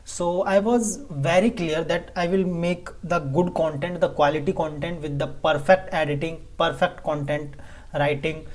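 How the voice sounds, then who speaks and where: A man with an Indian English accent talks casually to camera in a vlog. He is a native Hindi speaker, and his delivery is halting, with frequent stumbles and restarted phrases. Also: a little high, steady tone. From Delhi, India. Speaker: vlogger.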